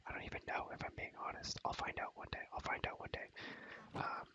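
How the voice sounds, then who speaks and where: A man's voice: brisk, pushed and bright, lo-fi sound. A man whispering an ASMR video game tour, rambling and repeating his phrases over and over. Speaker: video creator showing a Minecraft world.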